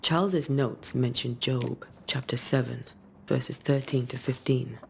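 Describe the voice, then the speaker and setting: An actress playing a wistful, wryly teasing veteran ghost hunter in a video game, with a measured, reflective delivery. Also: female voice, very deep voice, fairly even intonation, vocal fry, slight background noise, exaggerated voice. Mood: focused, reflective. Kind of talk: cinematic game dialogue.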